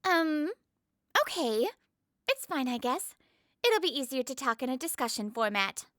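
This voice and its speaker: Woman acting high-voiced, poised young girl genius. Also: lively intonation, a little slow, fairly bright tone, no echo, studio quality. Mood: polite, slightly nervous. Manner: polite, articulate lecturing. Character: poised young female genius scientist giving a lecture. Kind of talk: visual novel fan dub acting.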